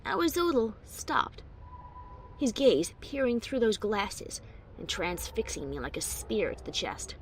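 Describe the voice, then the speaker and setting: A woman's voice: medium pitch, very animated, slow, a little hiss or hum, poor audio. A woman playing a wry but secretly anxious adventurer pony who narrates her own story expressively, with bravado over nerves. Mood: guarded. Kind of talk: first-person dramatic story narration.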